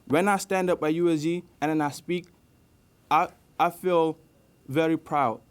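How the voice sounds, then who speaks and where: A young man student giving an earnest campaign speech, pausing on fillers as he goes. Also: on the high side, fairly even intonation, clear, resonant tone. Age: young adult. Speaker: student election candidate.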